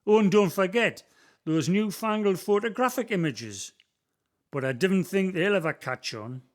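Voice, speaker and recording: A man voices a wise old ghost who haunts a remote valley. His voice sits fairly high for a man, and he speaks in a slow, measured, poetic way. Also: animated. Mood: wry. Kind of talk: community radio play acting.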